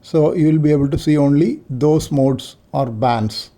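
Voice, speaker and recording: Mellow, higher-voiced man explaining step by step. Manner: halting, explanatory, in short step-by-step phrases. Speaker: amateur radio operator giving a software demo. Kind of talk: unscripted screen-share tutorial narration.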